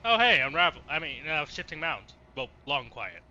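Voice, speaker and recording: A man's voice: on the high side, sing-song, normal speed, pushed and bright, dull audio, slight background noise. A man doing casual let's play commentary, reacting with muttered asides and swearing, and reading dialogue options aloud.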